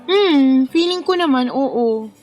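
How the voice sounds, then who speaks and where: A woman's voice: sits high, expressive, takes it slowly, darkish tone, lo-fi sound, soft background music. A Filipino woman playing a cheerful, defensive thirty-one-year-old who is eager to please, in a radio drama. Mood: apologetic, explaining. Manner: apologetic, explaining, defensive.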